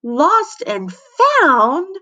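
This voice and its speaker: A whiny fourth-grade girl from a storybook, as a woman reader plays her, anxious and dramatic. Her voice wails and sniffles in a lifted pitch. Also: sing-song, drawn-out words, sharp tone, lo-fi sound, exaggerated voice. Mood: panicked shrieking.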